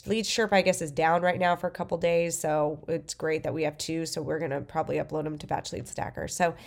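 A woman with a stuffy nose from the flu, casually talking through a software demo and thinking aloud as she clicks. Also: low-pitched, fairly even intonation, brisk, creaky. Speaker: real estate investor. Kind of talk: screen-recorded software walkthrough.

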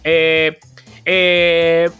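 A man's voice: on the high side, monotone, drawn-out words, bright, forceful tone, smooth, soft background music. A man with an accent from Spain chatting casually and effusively as a podcast co-host, speaking with emphasis. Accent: Spanish from Spain. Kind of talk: casual chat.

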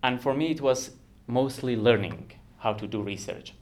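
A man in his thirties from Iran with an Iranian accent, a native Kurdish speaker, talking earnestly and haltingly as a podcast guest who laughs often. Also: medium pitch. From Iran, Kurdistan region. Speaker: guest researcher. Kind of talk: podcast interview.

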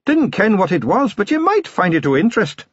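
A man's voice: very animated, a little fast, breathy edge, highs cut off, full character voice. A man doing a sly little Scottish gnome constable. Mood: sly, earnest. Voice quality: high, lilting, brogue-heavy. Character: tiny fierce sly male Feegle-raised gnome constable.

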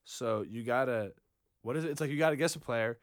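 A young man from New York City co-hosting a casual chat, full of quick quips and teasing asides. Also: medium pitch, no room sound, clean studio sound. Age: young adult. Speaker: podcast co-host, college student.

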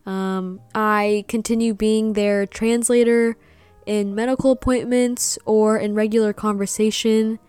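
A woman's voice: mid-range voice, steady tone, unhurried, smooth, dry recording, faint music behind. A young woman hosting a personal podcast, speaking earnestly and reflectively about growing up, with Vietnamese as her first language. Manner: earnest, soft-spoken, breaks into giggles at the end. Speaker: podcast host.

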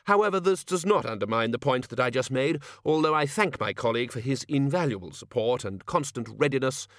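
A male narrator performs a blustering older wizard in a storybook comedy. He is pompous and hearty, exclaiming in big swings of pitch. The sound is dry and close. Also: lively intonation, fairly quick, fairly bright tone, dry recording. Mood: self-assured. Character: blustering, self-assured older male wizard, head of college. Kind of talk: audiobook character acting.

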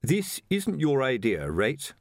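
A man's voice: mid-range voice, wildly swooping pitch, takes it slowly, no echo, clean studio sound, voice pushed up, full character voice. A man voicing a weary, authoritative veteran police sergeant in an audiobook. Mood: cautious. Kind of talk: audiobook character voice.

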